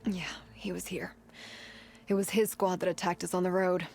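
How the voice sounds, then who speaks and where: Low, gravelly battered warrior woman. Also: flat delivery, moderate pace, a little mellow, slight background noise. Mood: weary, bitter. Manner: blunt, weary. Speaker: game character actor. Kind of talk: dramatic game cutscene acting. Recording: music behind the voice.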